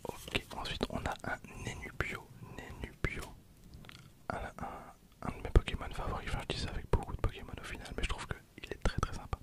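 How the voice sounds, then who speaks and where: Whispering young man, ASMR style. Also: brisk. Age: 20s. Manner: hushed, softly murmured, informal. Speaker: ASMR video creator. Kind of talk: whispered ASMR, casual card-opening commentary.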